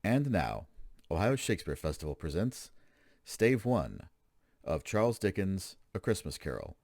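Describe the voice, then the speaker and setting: A man's voice: low-pitched, very animated, a little slow, a little mellow, no echo, studio quality. A man hosting a radio play program, reading scripted introductions in a theatrical, old-fashioned announcer's manner.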